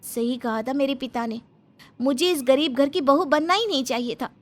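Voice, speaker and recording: A woman's voice: high voice, moderate pace, close to an everyday voice. A woman playing a spoiled, complaining young daughter-in-law from a rich family in a dramatised family story, whiny and demanding. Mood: sulky grumbling.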